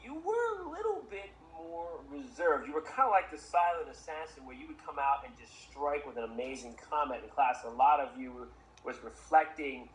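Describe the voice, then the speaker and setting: A man's voice: a little high, lively intonation, normal speed. A man hosting a podcast and interviewing a former student, encouraging him and asking long, winding questions. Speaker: podcast host, former teacher of the guest. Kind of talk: casual interview. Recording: echoey room with faint background noise.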